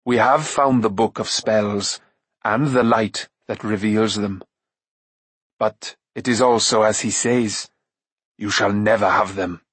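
A man's voice: on the low side, fairly bright tone, husky, no echo. A man voicing a grave, weathered, noble warrior lord in an audiobook, speaking firmly and carefully in formal old-fashioned language. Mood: firm, measured. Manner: firm, slow, careful, archaic formal speech. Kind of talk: audiobook character acting.

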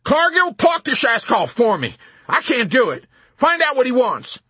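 A man's voice: extremely high pitch, huge pitch swings, normal speed, bright, forceful tone, breathy edge, no echo, pitched above the performer's own voice, heavily acted. A man voicing a pompous army general in hysterical panic, wailing and screaming frantically in an audiobook. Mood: desperate.

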